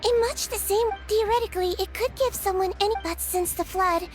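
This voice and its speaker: A woman's voice: extremely high pitch, fairly quick. A woman voicing a small, sweet but shrewd young female nurse in an anime-style video game, with a chiding, matter-of-fact manner. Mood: explaining. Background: game music.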